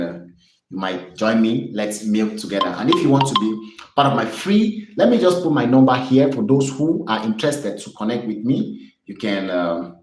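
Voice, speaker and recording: Chatty Cameroonian trader in an echoey room. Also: male voice, medium pitch, darkish tone. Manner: upbeat, repetitive, chatty with viewers. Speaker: trading streamer. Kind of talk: live trading stream, chart lesson.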